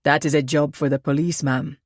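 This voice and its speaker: A man's voice: fairly high pitch, level tone, a little fast, no room sound, studio quality, lightly acted. A woman doing a lilting, cautious cab driver. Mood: apologetic. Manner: lilting accent. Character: male cab driver, cautious family man.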